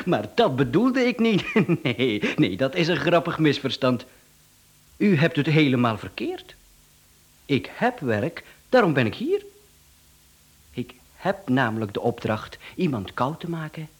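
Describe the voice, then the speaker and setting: A man's voice: a little high, big pitch swings, slow, slightly rough, exaggerated voice. A man with a Flemish accent playing a smug, chatty professional hitman in a radio drama, speaking with mocking politeness and self-assurance. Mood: amused, polite menace.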